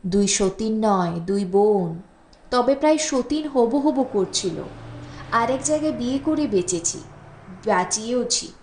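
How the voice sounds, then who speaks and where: A woman reading a literary story as an audiobook narrator, in an expressive storytelling style. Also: a little high, measured pace, slight background noise. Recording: roomy, echoing voice recording.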